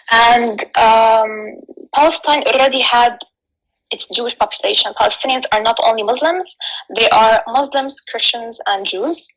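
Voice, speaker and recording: A woman from Lebanon giving explanatory answers as an interview guest, speaking haltingly with frequent pauses. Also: a little high, a bit bright, poor audio.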